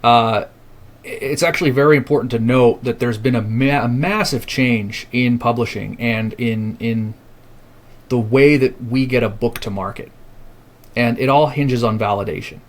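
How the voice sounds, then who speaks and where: A man in a podcast interview speaks in an earnest, reflective way, his voice sitting at an ordinary middle pitch. He often doubles back and restarts a phrase while he builds toward his point. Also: moderate pace. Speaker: publishing house founder, podcast guest.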